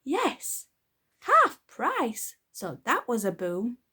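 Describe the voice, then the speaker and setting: A simpering storybook mother gushes and apologizes in a very high, fluttery voice, as a woman reader puts her on. Her pitch soars and dips, and her words come out slow and drawn out. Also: huge pitch swings, pushed and bright, breathy edge, full character voice. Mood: gushing.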